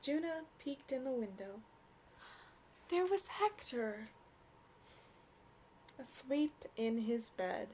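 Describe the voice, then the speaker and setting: Young woman, children's story reader: high, lively intonation, gentle, takes her time. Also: takes it slowly, pauses often, a little hiss or hum. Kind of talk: read-aloud storybook narration for children.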